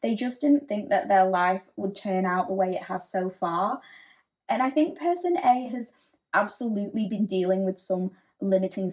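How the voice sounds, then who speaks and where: Woman, tarot reader, gentle, reassuring, conversational, mid-range voice. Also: mid-range voice. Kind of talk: pick-a-card tarot reading.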